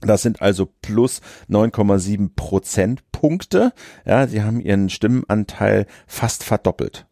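A podcast co-host with a low, gravelly, mellow voice chats easily about politics and fills his pauses with little sounds. The recording is dry and close, with no room around him. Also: no room sound. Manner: relaxed, conversational, frequent fillers. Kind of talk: casual political podcast talk.

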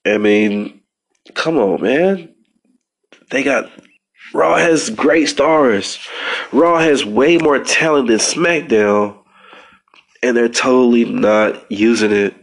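A man's voice: mid-range voice, takes it slowly, long pauses, rough recording. A man with a stuffy nose hosting a casual wrestling podcast, rambling with frequent restarts and fillers. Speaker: podcast host.

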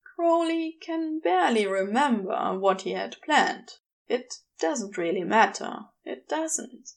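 A woman narrating scripted fiction as an audiobook-style reader, in an intimate, measured storytelling manner. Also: a little high, lively intonation, vocal fry. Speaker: podfic reader.

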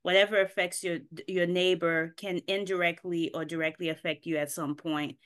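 A woman's voice: on the low side, little pitch movement, normal speed, fairly bright tone. A woman who grew up in Haiti, answering as a podcast guest over a video call, thoughtful and searching, with many ums. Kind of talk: casual interview answers.

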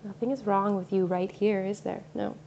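Soft-spoken woman guiding a meditation. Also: mid-range voice, steady tone, vocal fry. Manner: slow, soothing, soft-spoken. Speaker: meditation guide. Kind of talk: guided meditation. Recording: slightly dull recording with faint ambient noise.

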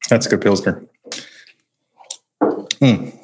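A man's voice: lowish voice, takes it slowly, a little mellow, slight background noise. A man co-hosting a podcast in a casual, conversational style. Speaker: podcast co-host.

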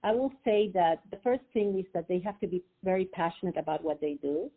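A woman's voice: fairly low pitch, expressive, a little fast, breathy edge. Peruvian woman on a tinny phone line. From Peru. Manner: hesitant, many fillers and restarts. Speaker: guest, financial advisor. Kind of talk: interview over the phone.

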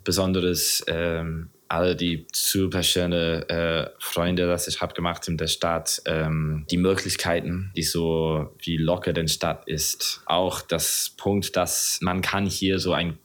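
Podcast guest: low-pitched man, English accent, non-native, casual and halting. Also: level tone, a bit bright. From England. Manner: halting, non-native phrasing with frequent searching for words. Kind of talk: casual interview chat.

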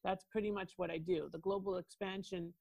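A woman's voice: on the low side, steady tone, brisk, gravelly, a little mellow, dry recording. A woman with a Canadian accent speaking as an expert guest on a podcast, explaining her work in an assured way and addressing the listener directly. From Toronto, Canada. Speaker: guest, international lawyer and mobility strategist.

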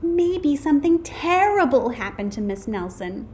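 A woman voicing worried, imaginative schoolchildren in a storybook, excitable and wide-eyed. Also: high-pitched, slow pace, a little hiss or hum, voice pushed up.

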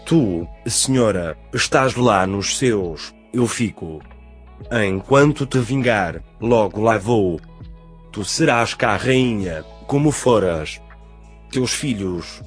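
A man reading the part of a grieving young prince mourning his murdered lady, in a solemn, measured way. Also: lowish voice, expressive, soft background music. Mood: mournful.

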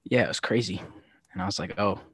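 A young man tells stories in a relaxed, rambling way, his voice sitting a little low. The sound is dry and close, like a podcast mic in a small space. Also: fast talker, husky, no room sound. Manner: laid-back, many "like" fillers, low-key delivery. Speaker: podcast co-host. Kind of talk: casual storytelling chat.

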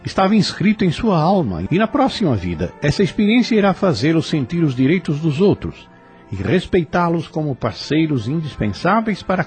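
Animated Brazilian man giving spiritual commentary. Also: medium pitch. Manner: reflective, formal, preaching.

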